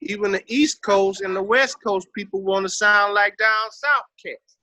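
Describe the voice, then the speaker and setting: High, bright-voiced Texas Southern man. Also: normal speed, dry recording. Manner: emphatic, profane, slangy. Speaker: interview guest, hip-hop veteran. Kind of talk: casual interview talk.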